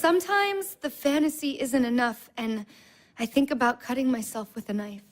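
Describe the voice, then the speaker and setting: A high-pitched, intense young woman played by an actress in a naturalistic audio drama. She speaks haltingly and confessionally, wounded, anxious and hard on herself. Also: natural voice. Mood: fragile.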